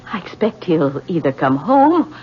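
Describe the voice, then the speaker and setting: A woman's voice: lowish voice, very animated, measured pace, slightly rough, heavily acted. A woman playing a polite, composed wealthy wife and mother in a 1970s radio drama, slightly hesitant. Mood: composed, evasive. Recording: dull, faintly humming vintage radio broadcast.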